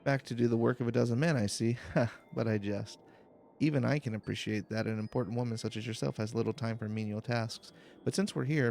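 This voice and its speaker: A man's voice: mid-range voice, gravelly, darkish tone, slight background noise, lightly acted. A man voicing a genial, joking fantasy game official with courteous, old-fashioned phrasing. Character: genial, jesting official welcoming a hero.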